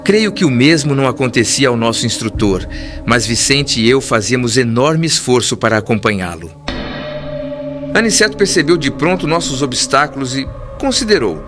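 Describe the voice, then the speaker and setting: A man narrating a literary audiobook in a formal, earnest, measured way. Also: medium pitch, lively intonation. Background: clear background music.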